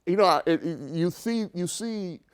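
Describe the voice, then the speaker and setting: A man with a smooth, resonant voice that sits a little high. He talks earnestly on a community talk show and often stops and restarts mid-thought as he hunts for the right word. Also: normal speed. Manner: earnest, searching for words, many restarts. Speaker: co-host. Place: local TV studio.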